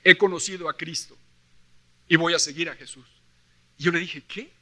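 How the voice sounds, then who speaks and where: A male preacher with a Mexican accent and a high-pitched, very bright, forceful voice. He delivers his sermon like an animated storyteller, acting out dialogue and pressing points with rhetorical questions. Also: moderate pace. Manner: emphatic, with rhetorical questions to the listeners. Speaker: preacher at a church convention. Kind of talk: sermon mixing Bible reading and personal stories.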